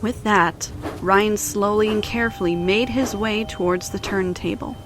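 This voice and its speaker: A woman's voice: mid-range voice, moderate pace, noisy background. A woman narrator reading a scripted children's story in storybook style.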